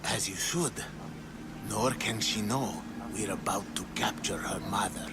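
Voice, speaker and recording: An actor playing a scheming, ambitious cult conspirator in a video game, speaking in a theatrical, conspiratorial manner. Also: male voice, on the low side, measured pace, heavy background noise, lightly acted. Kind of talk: video game cutscene acting. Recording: very loud music underneath. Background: game music.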